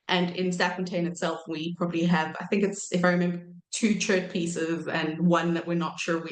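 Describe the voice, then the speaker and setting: A woman in her thirties giving an academic lecture while croaky from a cold, pausing often with ums and correcting herself. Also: on the low side, roomy sound, low-quality recording. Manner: hesitant, many ums and self-corrections. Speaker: archaeology researcher giving a talk.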